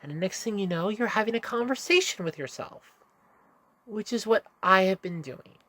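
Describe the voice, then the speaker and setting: A woman's voice: medium pitch, lively intonation, normal speed, fairly bright tone. A woman with a stuffy nose talking to camera in a candid, meandering vlog monologue, correcting herself as she goes, recorded in a room at home. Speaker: vlogger. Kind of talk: casual rambling monologue.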